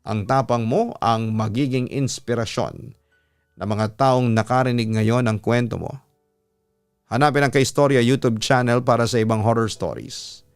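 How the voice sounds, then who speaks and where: A man with a Filipino accent, a radio storyteller, speaking in an earnest, measured way as he reads letters and gives advice. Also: medium pitch, steady tone, smooth. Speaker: radio announcer and story-reading host. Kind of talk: narrating a listener's letter, then advice to the sender. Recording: music bed under the voice. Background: instrumental music.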